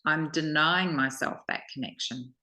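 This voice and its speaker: A woman taking part in a study session, sharing personal reflections in a halting, introspective way. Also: low voice, pushed and bright. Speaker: study partner.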